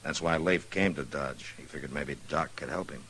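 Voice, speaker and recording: An actor playing a steady, concerned Old West marshal in a 1950s radio western, plain-spoken and laconic. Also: male voice, a bass voice, moderate pace. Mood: somber. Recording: noisy old radio drama recording.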